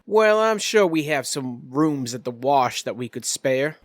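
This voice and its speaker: A man's voice: medium pitch, lively intonation, a little slow, dry recording, close to an everyday voice. Man playing a folksy, commanding crime boss. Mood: genial authority. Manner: drawling folksy phrasing, polite authority.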